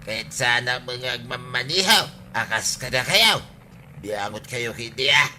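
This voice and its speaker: A man's voice: mid-range voice, expressive, a little slow, sharp tone, slight background noise. A man playing a stubborn drunken villager in an Ilocano comedy radio drama, slurring and belligerent. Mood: drunken defiance.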